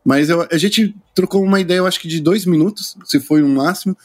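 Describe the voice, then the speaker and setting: A man's voice: high-pitched, a little fast. Friendly man hosting an interview. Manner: friendly, rambling questions. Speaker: interview host. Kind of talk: casual video call interview.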